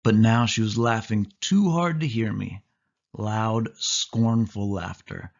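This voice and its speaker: A man narrating a suspense story in the first person, with expressive, dramatic delivery. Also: fairly low pitch, slow pace, creaky, muffled recording. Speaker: audiobook narrator.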